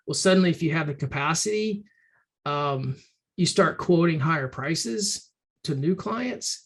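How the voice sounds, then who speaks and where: Slightly hoarse man giving a webinar. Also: on the high side, a bit bright. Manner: loose and conversational, many ums and restarts. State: slightly hoarse, clearing throat. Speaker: webinar presenter for a firm advisory program. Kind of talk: casual webinar talk.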